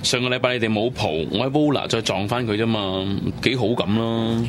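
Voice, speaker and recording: A man's voice: medium pitch, brisk, a little hiss or hum, natural voice. A man playing a cocky, casual young womanizer in a Cantonese radio drama. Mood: smug. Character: cocky young womanizer bantering with his buddies.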